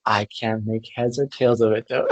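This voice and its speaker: A man's voice: medium pitch, very animated, slow pace, fairly bright tone, breathy edge, no room sound. A man from Canada hosting a conversational podcast interview, speaking in an articulate, reflective way and laughing easily. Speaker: podcast host.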